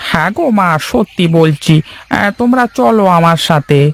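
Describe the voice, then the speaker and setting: Creaky, high-pitched old servant. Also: male voice, animated, normal speed, fairly bright tone, dry recording, full character voice. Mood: kindly, eager. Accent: Bangladeshi. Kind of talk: Bengali cartoon fairy-tale dialogue. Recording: background music under the voice.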